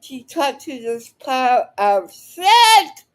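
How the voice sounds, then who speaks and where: A high-pitched woman delivers unscripted reaction commentary at a slow pace. She is blunt and combative, swears freely, and often breaks off her sentences before starting again. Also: big pitch swings. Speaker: online commentator reacting to another speaker.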